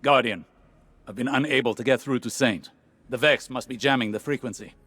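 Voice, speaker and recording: A man's voice: mid-range voice, brisk, fairly bright tone, slightly rough, no room sound. An actor playing a grave older male mystic in a sci-fi video game, formal, urgent and worried.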